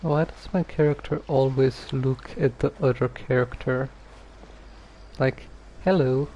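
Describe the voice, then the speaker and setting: A man giving casual, halting game commentary on a stream, low-key and dryly grumbling. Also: steady tone, dark tone, muffled recording, slight background noise. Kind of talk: casual gameplay commentary, some reading aloud.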